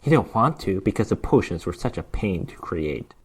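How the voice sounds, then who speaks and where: A man's voice: sits low, sing-song, normal speed, breathy edge. A man narrating a fantasy horror short story as a dramatic reading, with theatrical, emphatic delivery.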